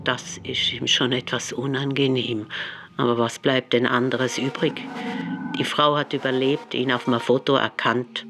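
A man's voice: medium pitch, level tone, a little slow, vocal fry, background noise. A man narrating a scripted radio docudrama in the present tense. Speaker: second narrator. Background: music.

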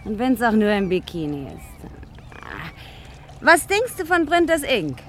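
An actress playing a teasing, treacherous gangster's accomplice in an old radio crime play, playful and mocking. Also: female voice, on the high side, very animated, measured pace, fairly bright tone, a little hiss or hum. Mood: coy, teasing. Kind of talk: 1950s German radio crime play acting.

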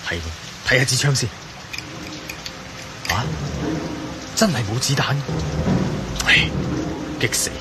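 A bold, suspicious adventurer in a Cantonese radio drama with a Hong Kong accent. He has a medium-pitched voice and mutters his thoughts aloud with theatrical intensity. Clear noise of rain and cars runs behind him. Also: expressive, slow, pauses often. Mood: frustrated, brooding.

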